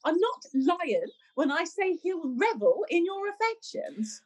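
A woman's voice: extremely high pitch, big pitch swings, unhurried, darkish tone, exaggerated voice. A woman playing a chirpy, eager village girl in an amateur radio pantomime.

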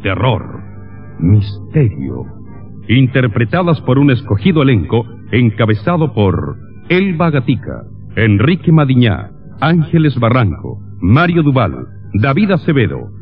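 Slow, theatrical male radio announcer. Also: medium pitch, husky. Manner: theatrical, emphatic, measured. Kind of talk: dramatic old radio serial announcing. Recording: dull lo-fi old radio recording.

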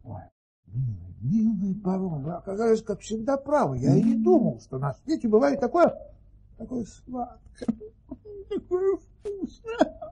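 An actor playing a pompous, capricious, greedy sultan in an audio play, theatrical and husky. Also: male voice, sits high, huge pitch swings, measured pace, pauses often, dark tone, exaggerated voice. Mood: blissful.

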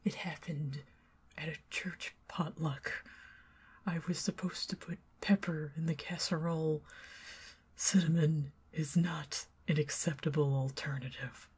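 A woman with a Southern American accent doing an ASMR roleplay as a chatty, teasing neighbor who talks to the listener and drifts into soft whispers. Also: low voice, fairly even intonation, a little slow, husky. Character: friendly talkative young woman neighbor.

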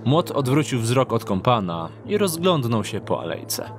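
A man's voice: medium pitch, big pitch swings, a little slow. A man reading scripted fantasy story narration as the narrator of an audio drama. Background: music.

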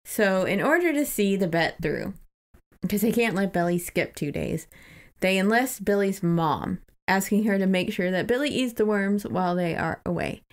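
A woman's voice: mid-range voice, animated, normal speed. Woman chatting casually, giggly and informal. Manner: giggly, informal, lightly sweary. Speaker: podcast co-host. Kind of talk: casual book discussion with retelling and read-out passages.

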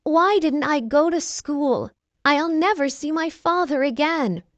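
A woman voicing an eager, naive wooden puppet boy in a storybook read-aloud, with high excited cries. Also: measured pace, dry recording, dull audio. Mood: sobbing.

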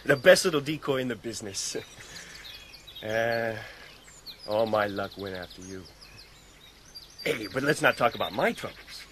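Brash American crook, lively and bright. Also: male voice, medium pitch, unhurried, long pauses, slight background noise. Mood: gleeful, wheedling. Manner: slangy, blustering. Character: brash, excitable small-time American crook. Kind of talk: 1980s radio drama acting.

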